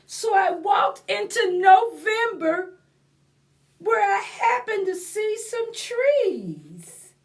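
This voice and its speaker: A woman's voice: extremely high pitch, sing-song, far from the mic, voice pushed up, exaggerated voice. A woman voicing a forlorn, dramatic little pumpkin from a storybook, telling its tale in plaintive, theatrical rhyme. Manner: plaintive, theatrical, drawn-out rhyming delivery. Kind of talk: storybook character read-aloud.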